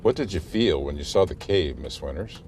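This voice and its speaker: A man playing a grave, cautious small-town guide in an audio drama, speaking in a formal, measured way. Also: low-pitched, expressive, a little mellow, slight background noise. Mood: solemn.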